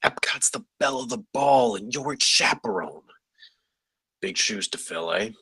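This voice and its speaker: Man reading an easygoing colleague, gravelly and husky. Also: fairly low pitch, expressive, moderate pace, pushed and bright, no room sound, full character voice. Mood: wry. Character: easygoing, reassuring male colleague at a party. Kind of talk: script table read.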